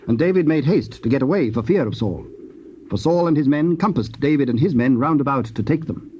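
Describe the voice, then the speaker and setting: A man narrating dramatized scripture in a formal, solemn and measured storytelling style. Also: medium pitch, expressive, a little mellow. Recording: muffled, hissy old cassette tape.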